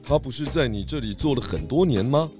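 A man playing a calm, sensible pig detective who questions and advises steadily in a children's audio story. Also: mid-range voice, brisk, over music, lightly acted. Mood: calm, inquiring.

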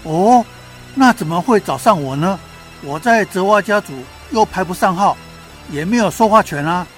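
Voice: medium pitch, animated, moderate pace, faint music behind, lightly acted. An actor playing a dutiful, timid frog squad leader in a children's radio drama, polite and apologetic. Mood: deferential, reluctant. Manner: polite, apologetic, ending lines with a croak word.

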